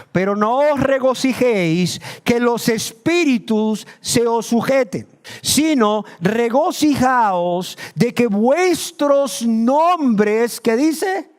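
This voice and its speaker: High-voiced preacher. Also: male voice. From Nicaragua. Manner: rhetorical questions, slows to stress key words. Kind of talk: sermon.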